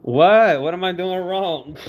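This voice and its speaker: A man's voice: sits high, slow pace. A young man on a casual livestream, laid-back and teasing, laughing as he answers viewer comments. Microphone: phone. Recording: dull lo-fi phone audio.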